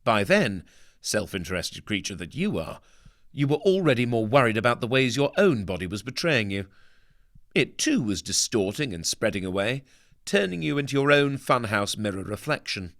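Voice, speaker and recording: Expressive male storyteller, very dry close sound. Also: mid-range voice, moderate pace, no room sound. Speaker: narrator reading a novel aloud. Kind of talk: dramatic scripted audiobook narration.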